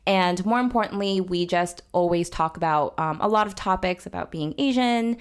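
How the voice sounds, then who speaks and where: A woman's voice: fairly low pitch, moderate pace, clear, resonant tone. A woman hosting a podcast, reading the intro and then asking curious, friendly questions. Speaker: podcast host.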